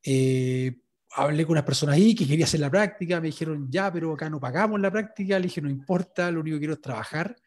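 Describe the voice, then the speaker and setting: A sports journalist being interviewed, telling his story in a relaxed way, with many fillers and restarts. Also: a little high, fast talker. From Chile. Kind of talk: interview answers.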